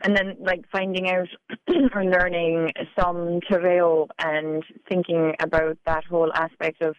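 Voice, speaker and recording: A woman's voice: lowish voice, flat delivery, measured pace, clear, resonant tone, no room sound, dull audio. A woman with a Cork Irish accent, a poet talking casually in a radio interview, full of "like" and "you know". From Ireland. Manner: chatty, many "like"s and "you know"s. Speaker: poet guest.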